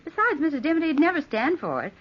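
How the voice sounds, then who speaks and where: Lively, sardonic young sculptress. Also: female voice, high voice, very fast. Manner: flippant, wisecracking. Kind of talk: old-time radio drama acting. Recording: dull, lo-fi old radio recording.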